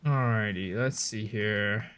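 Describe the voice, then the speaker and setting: A man's voice: fairly low pitch, clean tone. A young man whose first language is Cantonese, chatting casually with his viewers while he plays a game on stream. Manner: chatty, relaxed, answers chat with quick asides.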